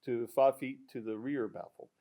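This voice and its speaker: A man explaining loudspeaker designs in a technical product discussion, halting with fillers and restarts. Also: mid-range voice, fairly even intonation. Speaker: audio product specialist.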